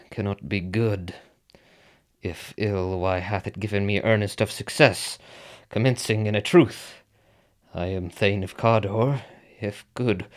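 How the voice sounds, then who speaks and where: An actor playing an ambitious, brooding Scottish general in a Shakespearean radio drama, speaking declamatory verse. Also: male voice, on the low side, close to an everyday voice.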